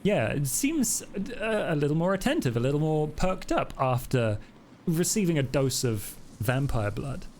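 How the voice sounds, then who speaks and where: A man improvising tabletop game narration as game master, halting as he thinks aloud. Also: on the high side, big pitch swings, darkish tone, a little hiss or hum.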